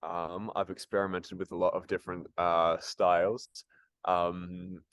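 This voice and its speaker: A man's voice: low voice, little pitch movement, measured pace, fairly bright tone, clear, resonant tone, no echo. A man answering interview questions about acting and music, casual and hesitant, with many ums and restarts. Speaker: actor and musician, interview guest.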